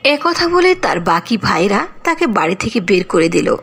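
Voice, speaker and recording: A woman's voice: medium pitch, very animated, moderate pace, gravelly, fairly bright tone, breathy edge. A woman narrating a cartoon fairy tale in a sing-song storytelling manner.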